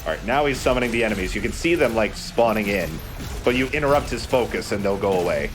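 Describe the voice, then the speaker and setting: A man's voice: on the low side, animated, fast, background noise. A man co-hosting a let's play, casually explaining the game in a joking, conversational way. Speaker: let's play co-host, gamer. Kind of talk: casual gameplay commentary.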